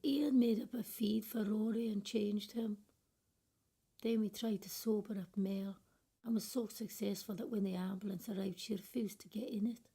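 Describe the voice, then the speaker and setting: A woman's voice: mid-range voice, steady tone, normal speed, mellow, husky. A woman playing a judgmental but conscientious care worker, reflecting bitterly in a radio play monologue. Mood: weary, conflicted.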